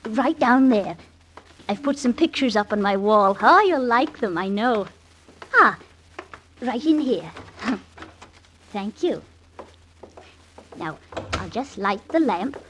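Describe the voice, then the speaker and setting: A woman's voice: fairly high pitch, expressive, slow pace, pauses often, fairly bright tone. An actress playing a chatty, flirtatious Victorian woman in a coy, coaxing manner, in 1950s radio drama style. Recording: noisy vintage radio recording with clicks.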